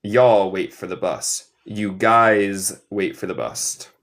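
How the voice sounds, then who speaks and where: A young American man from the northern United States with a Midwestern accent, teaching English in Spanish as a second language on a live online class. He repeats each example sentence slowly. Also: lowish voice, fairly even intonation, a bit bright, slight background noise. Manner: slow, repeats example sentences several times.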